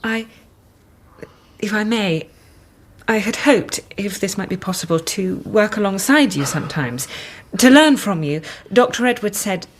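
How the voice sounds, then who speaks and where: A woman's voice: medium pitch, expressive, unhurried, a little hiss or hum, lightly acted. An actress playing an earnest, newly qualified young woman psychiatrist in a radio drama, polite and well-spoken. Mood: eager. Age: young adult.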